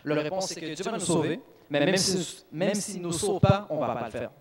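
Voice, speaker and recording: A man, a native English speaker, preaching in a church with lively bursts of emphasis and frequent restarts. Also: a little high, fairly quick, a loud crowd. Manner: animated preaching with restarts and self-corrections. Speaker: youth speaker. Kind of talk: sermon.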